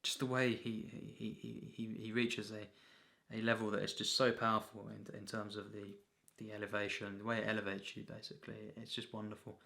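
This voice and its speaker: A man giving an unscripted, rambling film review as a reviewer, in a halting, repetitive way full of filler phrases. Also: fairly low pitch, level tone, vocal fry, sharp tone.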